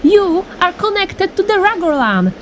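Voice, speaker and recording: Woman as very high, lively teenage heroine. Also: a little slow, slight background noise, full character voice. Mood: earnest realization. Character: Tarani, earnest, thoughtful teenage girl heroine. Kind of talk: animated fandub dialogue.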